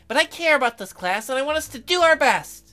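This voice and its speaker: Woman voicing a high, cutesy, earnest schoolgirl. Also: animated, fast talker, pushed and bright, faint music behind. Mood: cheerful, eager. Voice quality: high, girlish. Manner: eager, sweet, stammering when flustered. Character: cutesy, earnest, easily flustered schoolgirl fish class president. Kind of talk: visual novel character voice.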